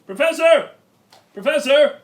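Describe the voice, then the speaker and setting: Echoey, animated children's show man. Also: extremely high pitch, measured pace. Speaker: children's puppet show host. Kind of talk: theatrical children's storytelling. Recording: echoey, distant from the mic.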